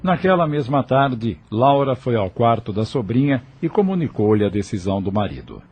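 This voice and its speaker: A man's voice: mid-range voice, sing-song, normal speed, background noise. A Brazilian man narrating an audio novel in a scripted storytelling style.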